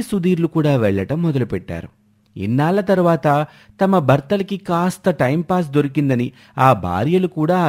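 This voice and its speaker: A man's voice: fairly high pitch, a little mellow. A man reading a scripted story as a storyteller.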